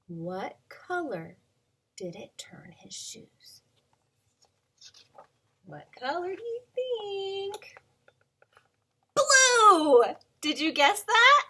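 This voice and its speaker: Woman, preschool teacher, high bright voice that swoops widely, playful read-aloud for small children. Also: very slow, pauses often, slight background noise. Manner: playful and expressive, asks the listener questions, drops to whispers. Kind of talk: reading a picture book aloud to young children. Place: at home.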